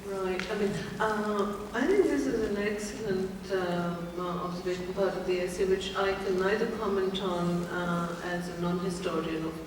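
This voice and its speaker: Woman lecturer fielding questions, halting delivery, heard from far off in a reverberant room. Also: medium pitch, steady tone. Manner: hesitant, many fillers. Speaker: guest lecturer, anthropologist. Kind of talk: lecture Q&A answer. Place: lecture hall. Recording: echoey hall, distant mic, background noise.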